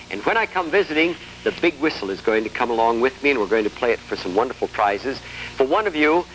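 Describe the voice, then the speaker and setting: A man's voice: on the high side, fairly bright tone. A man hosting a children's television show, making a folksy, coaxing promotional announcement straight to young viewers on a vintage broadcast recording. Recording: noisy, humming vintage 1960s broadcast recording.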